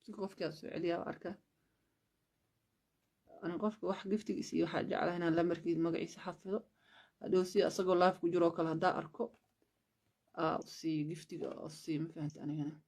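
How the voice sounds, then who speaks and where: A woman's voice: on the low side, steady tone, fast, long pauses, darkish tone. Woman chatting on a livestream. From Somalia. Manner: switches between languages, thanks viewers for gifts. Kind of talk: casual live-stream chat.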